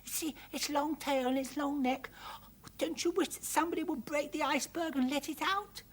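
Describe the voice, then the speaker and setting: A narrator puts on a little storybook girl, pitched high and breathy. She sounds earnest, timid and tender-hearted, wide-eyed and pleading. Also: normal speed. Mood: hushed wonder.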